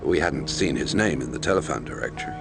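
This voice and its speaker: Casting: male investigative reporter recounting a case in a video game, low register, measured, hesitant delivery, music bed behind. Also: animated, creaky, exaggerated voice. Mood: measured, reflective. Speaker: narrator, investigative journalist character. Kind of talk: documentary-style first-person game narration.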